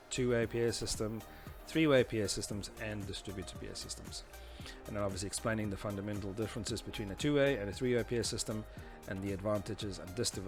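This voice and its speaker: A man presenting an informal technical lesson, explaining step by step in a somewhat hesitant, conversational way. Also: fairly low pitch. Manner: hesitant, explanatory, often saying "you know". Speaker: instructor presenting a tutorial series. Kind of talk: informal explanatory lecture talking through slides and diagrams.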